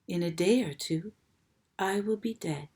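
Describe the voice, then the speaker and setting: Woman reader as a weary female spider: quiet, low, calm, storybook. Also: unhurried, natural voice. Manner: soft, low, calm and tired. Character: gentle, dying female spider, calm and wise.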